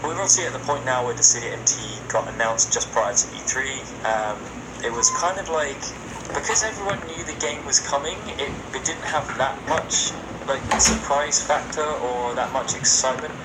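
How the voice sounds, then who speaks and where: A man with a mid-range voice chats casually as a podcast host discussing games. The recording is very noisy, with a loud hum under his voice. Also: medium pitch, normal speed.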